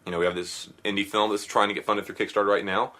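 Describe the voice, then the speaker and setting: Man, podcast host, casual banter, bright lowish voice. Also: flat delivery, quick pace. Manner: upbeat, joking, rambling.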